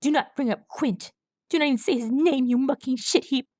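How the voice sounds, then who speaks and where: A woman's voice: mid-range voice, steady tone, moderate pace, a little mellow, no echo, studio quality, close to an everyday voice. A woman voicing a bitter older male foal, sullen and sneering. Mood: furious.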